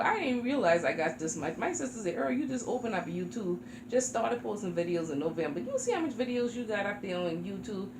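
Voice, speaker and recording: A woman, from South Carolina, chatting casually to camera about her reborn dolls at home. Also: medium pitch. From Charleston, South Carolina. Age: 40s. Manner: chatty, rambling, informal. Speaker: reborn doll vlogger. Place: home room. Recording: echoey room with background noise.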